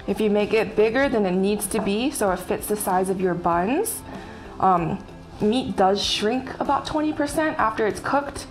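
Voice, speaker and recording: Young woman chef talking through a recipe. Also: mid-range voice. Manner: friendly step-by-step instructions while working. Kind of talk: casual cooking demonstration. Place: bright home kitchen. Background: background music and sizzling food.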